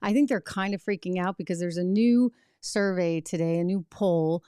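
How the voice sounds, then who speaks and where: A woman's voice: on the low side, moderate pace, dark tone, smooth, no echo, studio quality. Emphatic woman political commentator. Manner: emphatic, rhetorical, talks back to clips. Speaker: host.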